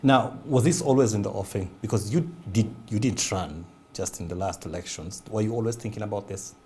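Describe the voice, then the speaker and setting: A man's voice: on the low side, a little fast, darkish tone, slight background noise. A man hosting an interview, asking brief, plain questions. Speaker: interview host.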